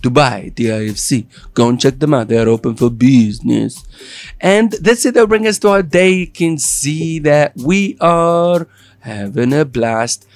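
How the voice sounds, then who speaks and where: A man's voice: medium pitch, expressive, unhurried, darkish tone, dry recording. Man, italian accent, show host, playful and joking, dry close sound, faint music behind. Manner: playful, joking, jumps between topics. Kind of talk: lively daily show chat.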